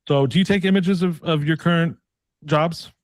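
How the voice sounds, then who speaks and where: A man working as a sales coach, delivering a casual, pushy sales pitch as a role-play and often checking for agreement. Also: moderate pace, no room sound. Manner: pushy, persistent, uses tag questions; casual, colloquial phrasing.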